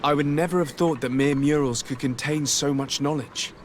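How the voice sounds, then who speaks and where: An actor playing a courteous, composed gentleman adventurer in a fantasy video game, with polite, restrained, formal speech. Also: a little high, fairly even intonation, normal speed, a little hiss or hum, lightly acted. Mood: gentle, interested. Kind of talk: video game dialogue acting.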